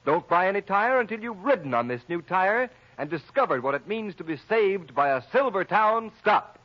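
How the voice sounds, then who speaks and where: A man's voice: sits high, lively intonation, normal speed. A man announcing a commercial in an emphatic, declamatory 1930s radio style. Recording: muffled 1930s radio recording.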